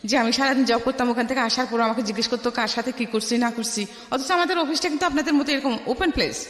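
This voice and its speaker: A woman with a Bangladeshi Bengali accent tells her life story as a radio interview guest, haltingly, restarting phrases and dropping in English words. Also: fairly high pitch, fairly bright tone. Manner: halting, with repeated false starts and English words mixed in. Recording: echoey with long reverb.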